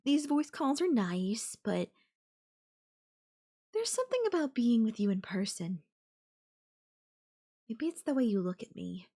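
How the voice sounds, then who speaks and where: A woman playing a possessive, doting young yandere girlfriend in an intimate audio roleplay, speaking coyly and affectionately with lingering pauses. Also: on the high side, sing-song, mellow, heavily acted. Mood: doting, wistful.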